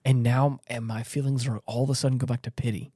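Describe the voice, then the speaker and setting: A man's voice: mid-range voice, level tone, fast, dark tone, no room sound. Emphatic man podcasting, dry close sound. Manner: emphatic, earnest, repeats himself for stress. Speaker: podcast host. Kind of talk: casual discussion of a story.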